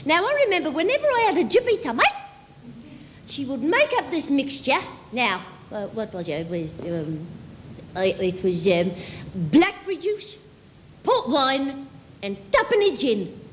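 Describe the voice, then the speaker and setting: A woman's voice: high voice, sing-song, unhurried, long reverb tail, a little hiss or hum, voice pushed down. A woman performing a comic monologue as a sentimental working-class Cockney, rambling and repeating earnestly while reminiscing. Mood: fond, nostalgic.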